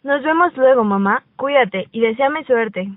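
Woman as teenage schoolgirl heroine, high voice, radio drama narration, slightly muffled amateur recording. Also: lively intonation, normal speed, dry recording. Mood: calm, storytelling. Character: teenage schoolgirl heroine narrating her own sad story. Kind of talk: first-person radio drama narration and dialogue.

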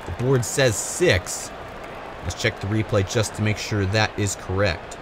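A man's voice: fairly low pitch, unhurried. A man giving play-by-play sports commentary on a race. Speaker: sports commentator for marble races. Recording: loud crowd noise behind commentary. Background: loud crowd and ambient noise.

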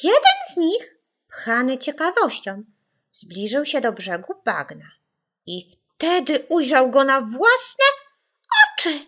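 Woman playing a mysterious girl storyteller, slow and high. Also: wildly swooping pitch, fairly bright tone, full character voice. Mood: eerie, dramatic. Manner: mysterious, drawn-out, dramatic. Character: young girl, enigmatic teller of spooky campfire legends.

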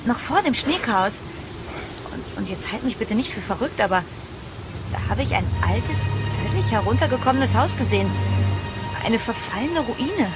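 A woman's voice: on the high side, animated, moderate pace, pauses often, close to an everyday voice. An actress playing an uneasy young woman traveller in a horror audio drama, with an earnest, dramatic delivery. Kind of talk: German horror audio drama acting and narration. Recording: voice over prominent music. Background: music.

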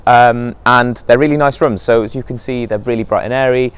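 A man's voice: mid-range voice, steady tone, fairly quick, clear, resonant tone. A young man from Wales, giving a casual, upbeat walking tour of his college on a lapel mic. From North Wales. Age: young adult. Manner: upbeat, chatty, many ums and likes. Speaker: college outreach officer. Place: college campus, outdoors and indoor halls.

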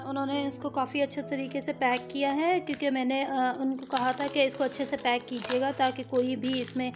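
Woman, casual home product review, chatty with hesitant fillers, high-pitched, music playing under. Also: little pitch movement, normal speed. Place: home, indoors.

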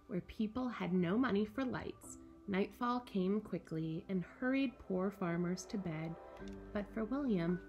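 An American woman presents a storytime for young children in an expressive, enthusiastic way. Her pitch sits in a middle range, and she is recorded indoors at home with music in the recording. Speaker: camp director hosting a children's story corner. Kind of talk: children's storytime presenting and picture-book read-aloud. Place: small furnished room at home, indoors.

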